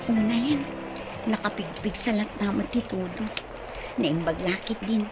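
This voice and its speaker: A woman's voice: medium pitch, a little slow, loud music behind the voice, close to an everyday voice. A woman acting an anxious, easily startled young woman with an Ilocano accent in a radio drama. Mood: playful, weary.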